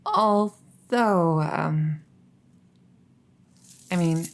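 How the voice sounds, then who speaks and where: Woman acting as a low-voiced, slow-talking captive princess. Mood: shy, bashful. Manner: stammering, hesitant, halting. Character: captured crown princess, haughty then flustered and coy. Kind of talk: roleplay audio drama acting.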